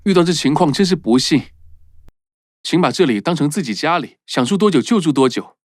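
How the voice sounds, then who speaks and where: A man's voice: fairly high pitch, expressive, fairly quick, dry recording, studio quality, faint music behind. A man voicing a sincere, courteous young prince in a children's cartoon. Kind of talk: cartoon dubbing.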